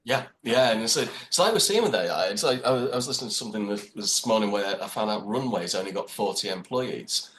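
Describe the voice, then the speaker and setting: A man's voice: fairly low pitch, lively intonation, brisk, few pauses, a bit bright, a little hiss or hum, rough recording. A man co-hosting a podcast, talking casually and rambling with the odd laugh. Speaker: podcast co-host. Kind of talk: casual chat.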